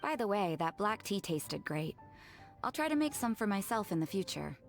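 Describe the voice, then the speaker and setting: A woman playing a relaxed, courteous young tavern guest in a video game, easygoing and gently amused. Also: medium pitch, moderate pace, faint music behind. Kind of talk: game character acting.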